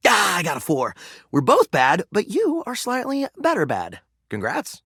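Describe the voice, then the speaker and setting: A man's voice: high-pitched, wildly swooping pitch, normal speed, vocal fry, pushed and bright, breathy edge, no room sound, clean studio sound, exaggerated voice. A man voicing a goofy, good-natured game developer in a video game, with playful, slangy, casual delivery. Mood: amused. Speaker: actor and game creator character.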